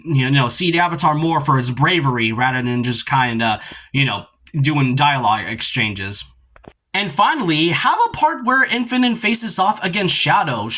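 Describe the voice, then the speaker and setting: A man's voice: fairly high pitch, bright, forceful tone. A man giving casual, loosely worded opinion commentary as the host of a video essay, in an earnest, informal way.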